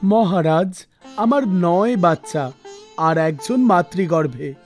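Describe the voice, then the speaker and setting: A woman's voice: on the low side, lively intonation, slow, darkish tone, pitched below the performer's own voice. A woman voicing a humble, repentant man who pleads, in a cartoon devotional story. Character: repentant male sinner, humble, desperate devoted father. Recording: music bed under the voice.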